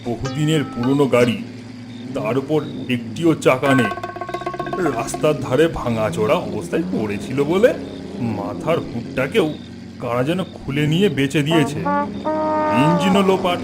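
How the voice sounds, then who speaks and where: A man's voice: mid-range voice, expressive, a little slow, long pauses, over loud music, close to an everyday voice. A man playing a smug, mocking ghost doctor with a taunting, theatrical manner in an audio horror story.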